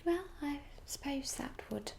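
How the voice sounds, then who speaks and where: A woman voicing a genteel, gossipy Regency lady in a soft ASMR roleplay whisper, musing politely and hesitantly. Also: high-pitched, animated, a little slow, vocal fry, slight background noise, pitched above the performer's own voice, heavily acted. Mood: polite, pondering. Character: genteel, gossipy young Regency lady planning a matchmaking guest list.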